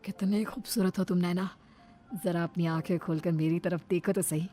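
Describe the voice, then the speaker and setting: A woman's voice: fairly low pitch, fairly even intonation, normal speed, dark tone, natural voice. A woman voicing a greedy young groom in a cartoon, whiny and complaining in manner. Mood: coaxing. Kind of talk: scripted Hindi cartoon dialogue. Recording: music behind the voice.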